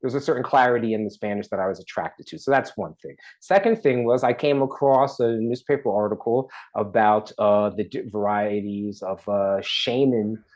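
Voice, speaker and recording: A man in his forties from the East Coast talks with a fairly low voice, restarting his sentences often as he thinks aloud. His delivery turns lively when a topic excites him, then settles back down. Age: 40s. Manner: halting, many restarts, lively when enthused. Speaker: documentary artist guest. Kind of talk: casual interview talk.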